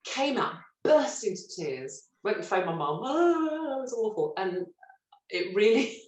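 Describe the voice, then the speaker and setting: A middle-aged woman from Britain, a stage actress telling lively, self-mocking stories in an interview and laughing often. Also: medium pitch, a little slow. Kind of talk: relaxed anecdotal interview chat. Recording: roomy echo.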